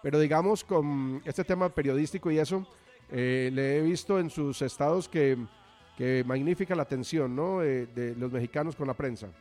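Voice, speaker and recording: A man's voice: fairly high pitch, moderate pace, a little mellow, faint music behind. A man hosting a radio sports show, interviewing a guest in a friendly, conversational way. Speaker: radio host.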